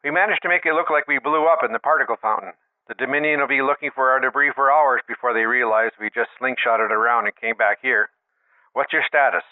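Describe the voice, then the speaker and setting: A man's voice: mid-range voice, fast. A man playing a composed, businesslike starship captain through a radio filter in a science-fiction audio drama. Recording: narrow, tinny radio-comms sound.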